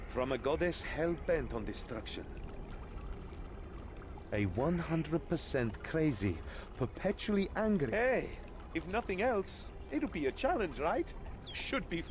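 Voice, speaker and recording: A man's voice: a little high, sing-song, takes it slowly, long pauses, background noise. A man voicing a cocky, teasing young adventurer hero in a video game, playful and theatrical. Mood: wry, upbeat.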